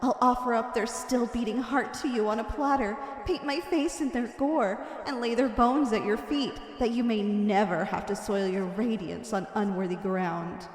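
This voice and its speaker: A woman's voice: a little high, moderate pace, few pauses, natural voice. A woman playing a wicked, obsessive, lovestruck villainess in an ASMR roleplay, theatrical and florid, drawing out her endearments. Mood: ranting, murderous devotion. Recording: echoey hall-like reverb.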